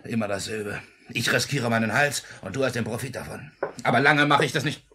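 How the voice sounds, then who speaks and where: An actor playing a brutal, suspicious burglar in a 1950s German radio play, gruff and domineering with a rough voice. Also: male voice, medium pitch, a little fast, pushed and bright. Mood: angry. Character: brutal, suspicious, hot-tempered male burglar thug.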